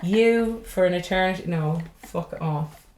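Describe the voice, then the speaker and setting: A woman's voice: fairly low pitch, a little slow, a little mellow, reverberant, poor audio. An Irish woman who is a stand-up comedian, chatting casually on a podcast, swearing freely and rambling from joke to joke. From Ireland.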